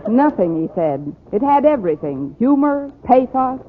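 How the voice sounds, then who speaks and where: An actress playing a wry, wisecracking schoolteacher in a radio sitcom, with dry, sardonic delivery. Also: female voice, fairly high pitch, very animated, moderate pace. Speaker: radio comedy actor. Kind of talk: 1940s-50s radio sitcom acting. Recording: lo-fi noisy radio recording, studio audience.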